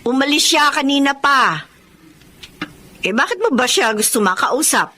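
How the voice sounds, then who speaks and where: A woman's voice: sits high, animated, unhurried, bright, forceful tone, full character voice. A Filipino woman playing a protective, disapproving elder sister, firm and reproving, in radio drama style. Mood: stern. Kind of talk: emotional radio drama acting.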